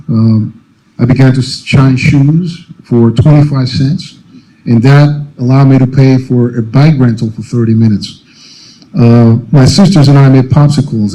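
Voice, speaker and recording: A middle-aged man from the Dominican Republic, a native Spanish speaker, giving a prepared speech at a college convocation, earnest, with frequent pauses and fillers. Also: mid-range voice, mellow, poor audio. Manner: many uh and um fillers, measured and earnest. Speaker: alumni speaker.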